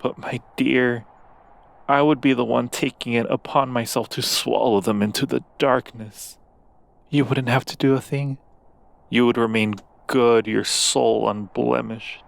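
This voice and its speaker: A man playing a seductive, obsessive demon prince in human form, speaking slowly and deliberately with menacing tenderness in an ASMR roleplay. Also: medium pitch, level tone, creaky. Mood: possessive, coaxing.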